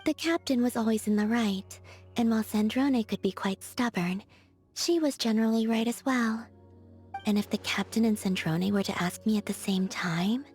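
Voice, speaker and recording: A dreamy, gentle young woman for a game, her voice airy and breathy and sitting a little high. She talks slowly and languidly, as if half-asleep. Soft music plays faintly behind her. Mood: musing. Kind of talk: video game character voice lines.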